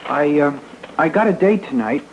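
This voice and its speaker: A man's voice: a little high, expressive, moderate pace, a little hiss or hum, lightly acted. A man playing a petulant, slangy sixteen-year-old boy in an old radio drama. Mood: pleading. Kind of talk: 1960s radio drama acting. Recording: dull vintage radio, faint music.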